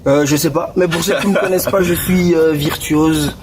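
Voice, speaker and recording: Belgian guy in his twenties, slangy and halting, slightly high voice, distant mic. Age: 20s. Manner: relaxed, slangy, many restarts and fillers. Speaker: guest rapper. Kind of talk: casual interview. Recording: distant mic with clear background noise.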